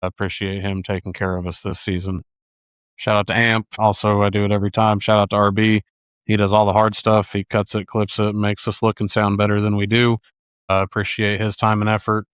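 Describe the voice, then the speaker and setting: A man's voice: fairly low pitch, little pitch movement, fairly quick, smooth, dry recording. A man co-hosting a podcast in casual, unscripted talk. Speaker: podcast co-host. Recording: slightly dull, dry audio.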